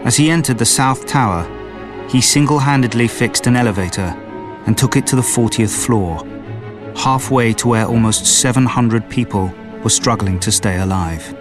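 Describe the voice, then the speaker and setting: Male narrator for scripted documentary, medium pitch, clear music underneath. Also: normal speed. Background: clear background music.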